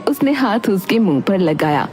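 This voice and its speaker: Very animated woman narrating a cartoon story. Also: mid-range voice, moderate pace.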